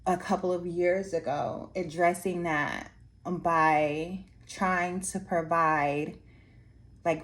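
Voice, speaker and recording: Hoarse woman talking slow and halting. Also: low voice. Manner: slow, halting, many restarts and fillers. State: losing her voice, hoarse. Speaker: art teacher trainer. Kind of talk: reflective casual monologue to viewers. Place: Anchorage area after workshops.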